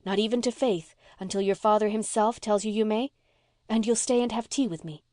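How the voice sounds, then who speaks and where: A tender, sincere woman as an audiobook reader plays her, talking softly and frankly as if to a child she is fond of. Her pitch sits in the middle and the recording is dry and intimate. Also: fairly quick, dry recording, natural voice. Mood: affectionate. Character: gentle, frank, affectionate young woman comforting a child. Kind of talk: audiobook character voice.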